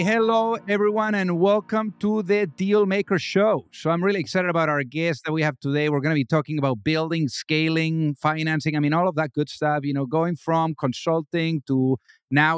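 A man's voice: a little high, clear, resonant tone, no echo, clean studio sound. A man hosting a business podcast, enthusiastic and leaning on lots of "you know" fillers as he introduces and questions his guest. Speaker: podcast host. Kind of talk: casual interview hosting.

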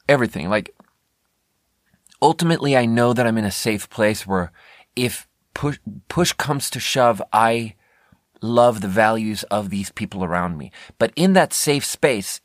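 A man's voice: on the low side, animated, unhurried, no echo, studio quality. An American man hosting a podcast alone, rambling casually and candidly in a conversational way, often interrupting himself. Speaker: podcast host. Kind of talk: casual rambling solo talk.

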